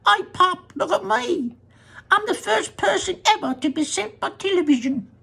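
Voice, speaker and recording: A tiny squeaky bratty little boy, very high and piercing, gravelly edge, storybook read-aloud. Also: expressive, moderate pace, pushed and bright, exaggerated voice. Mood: cheerful. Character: tiny shrunken boy, bratty, tantrum-prone.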